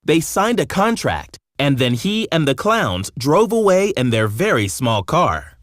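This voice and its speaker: Man, scripted children's narration, expressive, lively intonation, mid pitch, dry studio sound. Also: unhurried, no echo. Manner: expressive storytelling. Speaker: storybook narrator.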